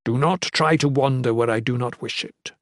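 A man reading the part of a stern, earnest fantasy mage in a formal, deliberate manner. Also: on the high side, no room sound, studio quality. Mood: solemn, firm. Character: stern, earnest male mage, pleading and warning. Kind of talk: fantasy audiobook character reading.

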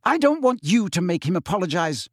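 A man voicing an angry, forthright teenage schoolgirl with a sharp, scolding manner in an audiobook story. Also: sits high, very animated, normal speed, breathy edge, no room sound, studio quality, voice pushed up, full character voice. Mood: shouting, angry.

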